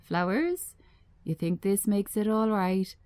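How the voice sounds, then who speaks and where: A woman's voice: medium pitch, lively intonation, slow, a little mellow. A woman reading a screenplay, playing a defensive, sardonic male shop owner in a dry, clipped manner. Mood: indignant. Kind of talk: screenplay table read.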